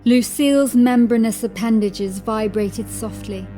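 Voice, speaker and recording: Slow-paced woman narrating horror. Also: on the high side, mellow. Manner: measured, suspenseful storytelling. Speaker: audiobook narrator. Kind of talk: dramatic scripted horror narration. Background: music.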